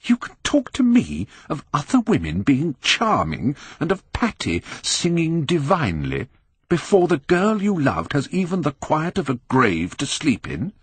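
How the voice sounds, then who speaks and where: A man's voice: fairly high pitch, huge pitch swings, unhurried, husky, dry recording, pitched above the performer's own voice, full character voice. A man voicing an earnest painter in an audiobook, British, grave and pained as he pleads with a friend. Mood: pained, reproachful. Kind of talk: audiobook character acting.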